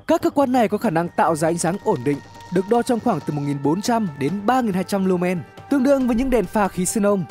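A man reading scripted narration for an animated horror explainer, telling it in a measured, dramatic way. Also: sits high.

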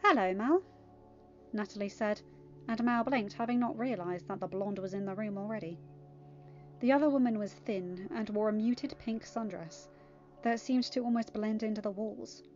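A woman's voice: mid-range voice, moderate pace, dark tone. A woman narrating a story as an amateur audiobook reader. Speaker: fan fiction reader. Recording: dull lo-fi audio, music behind. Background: music.

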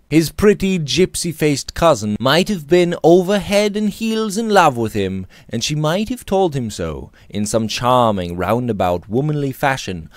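A man in his thirties reading a Victorian novel aloud with theatrical, deliberate phrasing, close to the microphone in a small room. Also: mid-range voice, darkish tone, no room sound. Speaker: audiobook reader. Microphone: close studio mic on boom arm.

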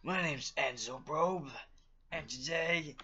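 Teen male vlogger with Filipino accent, unhurried halting delivery, lo-fi webcam sound. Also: a little high, pushed and bright, slight background noise. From Philippines. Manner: rambling, halting, many fillers and restarts. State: feeling unwell, voice off. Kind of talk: casual talk to camera.

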